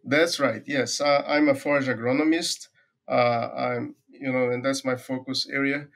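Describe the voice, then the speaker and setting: A middle-aged man from Brazil with a Brazilian accent, a university agronomy professor answering interview questions in a relaxed, thoughtful way, pausing often with "uh" and repeating words as he finds them. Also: medium pitch, gravelly. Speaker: forage agronomy professor, guest.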